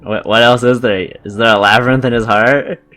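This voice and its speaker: A man's voice: medium pitch, fairly even intonation, brisk, bright, forceful tone. A man chatting casually as a game co-commentator, reacting with incredulity and swearing. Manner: incredulous, profane. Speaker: let's play co-commentator. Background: game music and dialogue.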